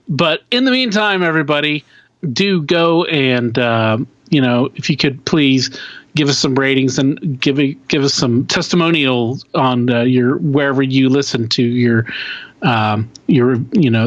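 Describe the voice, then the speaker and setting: A man chats casually as a podcast co-host, feeling his way through sentences in loose, informal language. His voice sits at an average male pitch. The recording is very dry and a little dull, with no room sound. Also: no room sound. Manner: halting, informal slacker speech with dude and man.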